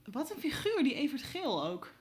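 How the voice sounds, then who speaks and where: A woman playing a curious, excitable reporter in an audio drama, reacting informally, with noise in the recording. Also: high-pitched, normal speed. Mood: astonished, curious.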